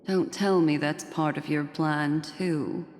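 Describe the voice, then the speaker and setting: A woman's voice: low-pitched, steady tone, slow, darkish tone, slight background noise. An actress playing an elegant, composed young woman in a video game, coy and politely sardonic. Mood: coy defiance. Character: elegant, teasing, composed young female memory keeper. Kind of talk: dramatic video game voice acting. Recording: long reverb, music underneath.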